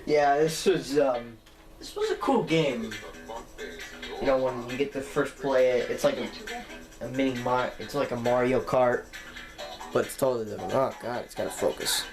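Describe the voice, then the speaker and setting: A man's voice: mid-range voice, normal speed, over music. A teenage boy hosting his own gaming channel, chatting casually and rambling as he corrects himself mid-sentence. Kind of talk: casual let's-play chat. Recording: echoey room with faint background noise.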